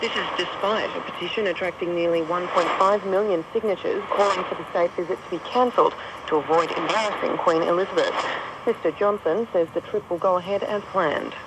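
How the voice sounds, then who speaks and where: An Australian woman reads a radio news bulletin in a scripted style. She comes through a shortwave radio, with clear noise and outdoor ambience around her. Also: on the low side, fairly even intonation, fairly quick. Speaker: news reader. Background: radio static and outdoor ambience.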